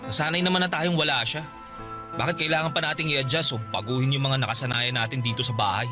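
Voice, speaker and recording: A man's voice: mid-range voice, fairly even intonation, fast, music in the background, natural voice. A male actor with a Filipino accent playing a resentful, guarded younger brother in his twenties in a radio drama, curt and defensive. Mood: bitter, resistant.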